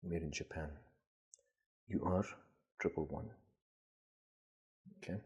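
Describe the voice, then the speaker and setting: A man with a husky voice giving casual, tentative unboxing commentary, thinking aloud as host. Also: low-pitched, slow pace, darkish tone, dull audio. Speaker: gadget collector and video host.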